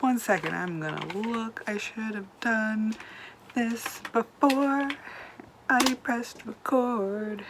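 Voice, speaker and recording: A woman's voice: on the high side, takes it slowly, noisy background. A woman reviewing books in a chatty, rambling way, swearing casually and sometimes dropping to a whisper. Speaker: book vlogger. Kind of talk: casual book review chat.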